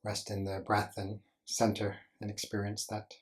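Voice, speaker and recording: A man leading a guided meditation as a teacher, speaking gently in short instructional phrases with deliberate pauses. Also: lowish voice, completely flat delivery.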